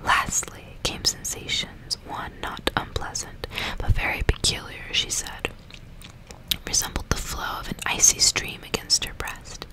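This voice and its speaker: A woman whispers right up close to the mic in an intimate ASMR style. Her voice is all breath with no voicing, and she delivers her words softly and deliberately, as if hosting a bedtime reading. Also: rough recording. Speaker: ASMR host reading a novel aloud. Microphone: close ASMR microphone.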